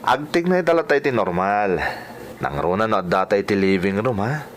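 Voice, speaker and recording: An actor playing a carefree, coaxing migrant worker and secret lover in a radio drama, casual, with a Filipino accent. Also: male voice, on the low side, sing-song, normal speed, a little hiss or hum. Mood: easygoing, coaxing.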